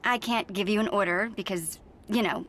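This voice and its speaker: An actress playing an American government agent in a video game, with brisk, insincere politeness and condescending veiled menace. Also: female voice, medium pitch, fairly bright tone, close to an everyday voice. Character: falsely chipper, veiled-threat female American government agent. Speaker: Homeland Security agent. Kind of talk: video game cutscene acting.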